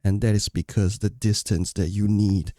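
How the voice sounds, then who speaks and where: A man with a fairly low voice talks through a tutorial informally. He explains things casually and repeats points for emphasis, speaking into a studio mic in a home room. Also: fairly even intonation, moderate pace, dark tone. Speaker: audio tutorial presenter. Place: small untreated home room with furniture. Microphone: close studio microphones, condenser then dynamic.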